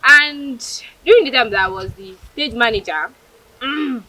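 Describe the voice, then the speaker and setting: A woman's voice: sits high, animated, measured pace, sharp tone, low-quality recording. A young woman with a Nigerian accent answering informally in an interview as a student stage manager. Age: young adult.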